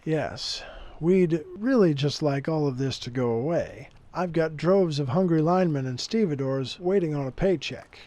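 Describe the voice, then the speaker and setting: A man's voice: on the high side, moderate pace, mellow, no room sound, close to an everyday voice. A man playing a flustered, apologetic, put-upon dock boss in a comic 1940s radio play. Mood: apologetic, earnest. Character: flustered, apologetic harbor boss, a worried stooge of the mob.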